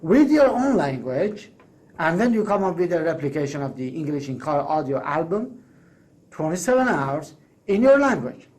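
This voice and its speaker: Emphatic man pitching. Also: very animated, normal speed, darkish tone. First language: Persian. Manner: emphatic and persuasive, self-correcting restarts. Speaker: course creator pitching a proposal. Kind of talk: solo spoken pitch to camera.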